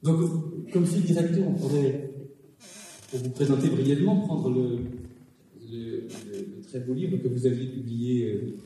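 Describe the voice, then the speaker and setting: A man's voice: mid-range voice, measured pace, mellow, a little hiss or hum. A man moderating a public talk, making a formal introduction with many hesitations. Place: public hall. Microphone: recorded from the audience on cassette. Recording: distant, echoey hall on cassette.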